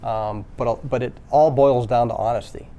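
A man's voice: medium pitch, fairly even intonation, normal speed, mellow. A man, a construction company president, speaking candidly in a panel discussion, often ending points with a questioning tag. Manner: candid, earnest, many fillers and tag questions.

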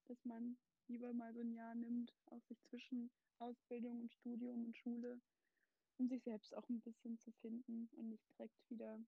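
Young woman with creaky voice. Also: a little high, dry recording, phone-quality sound. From Germany. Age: 20s. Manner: chatty, hedging with fillers. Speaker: guest. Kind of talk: casual chat.

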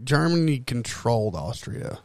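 A man's voice: mid-range voice, sing-song, measured pace, no room sound. A man chatting informally, peppering his talk with "like" and swear words. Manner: profane, informal, heavy use of "like". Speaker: podcast co-host. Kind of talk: casual chat.

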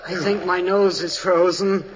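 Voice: medium pitch, steady tone, unhurried, lightly acted. An actor playing an eager, wide-eyed American adolescent in an old radio sitcom. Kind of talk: 1950s radio sitcom acting. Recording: slightly noisy old radio recording.

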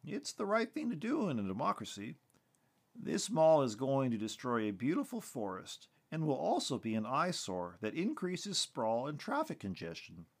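A man voicing a civic-minded schoolteacher activist making a measured, persuasive appeal. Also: mid-range voice, huge pitch swings, husky, exaggerated voice. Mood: earnest.

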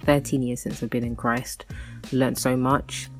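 A woman's voice: rumbling low, level tone, a little slow, faint music behind. A woman in her thirties hosting a solo podcast, chatting casually and rambling with many fillers and restarts. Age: 30s. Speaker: podcast host.

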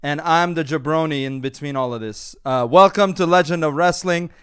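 A man hosts a casual podcast, rambling and laughing as he talks. His voice sits a little high and comes through smooth and clear. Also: normal speed, fairly bright tone. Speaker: podcast host.

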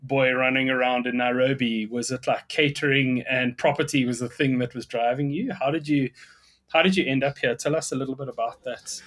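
A man's voice: medium pitch, fairly even intonation, fairly quick, fairly bright tone. Upbeat male podcast host. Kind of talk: interview hosting.

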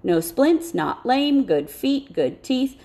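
A woman voicing a small, bustling, confident male vet with a brisk, approving manner. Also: sits high, sing-song, dark tone, pitched above the performer's own voice, exaggerated voice. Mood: brisk approval.

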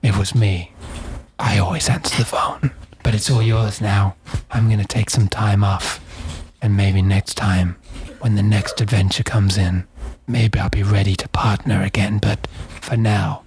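A man's voice: fairly low pitch, steady tone, unhurried, lightly acted. A man playing a matey, hesitant veteran detective in an improvised comedy audio drama. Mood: wistful, sincere. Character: weary veteran male detective, matey and self-satisfied. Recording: roomy echo, faint noise.

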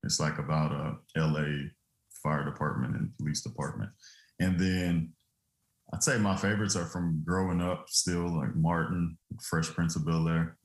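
A man in his thirties from Louisiana, guest on an interview, telling stories in a relaxed, loose way with easy laughter. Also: rumbling low, moderate pace, roomy sound. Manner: easygoing, laughs often, loose filler-heavy telling. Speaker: guest, former football player turned trucking business owner.

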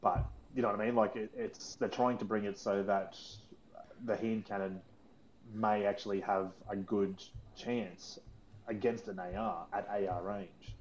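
A man's voice: lowish voice, level tone, a little slow, darkish tone, dull audio, a little hiss or hum. A man co-hosting a casual gaming podcast, teasing his friends and explaining at length. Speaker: podcast co-host.

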